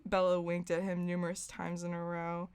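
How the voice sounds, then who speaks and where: A woman's voice: lowish voice, monotone, moderate pace, darkish tone. A woman podcast host reading fan fiction aloud for comedy, prone to giggling and dropping in her own asides. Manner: giggly, breaks into laughter while reading.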